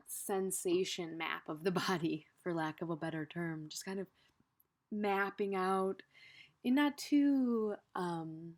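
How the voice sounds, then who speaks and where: Female meditation guide, slightly low register, gentle and unhurried, improvised delivery with soft phrase endings.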